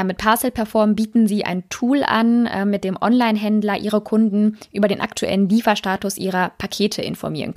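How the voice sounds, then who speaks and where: A woman's voice: mid-range voice, darkish tone, dry recording. Female podcast host, dry close sound. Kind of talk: podcast intro and interview.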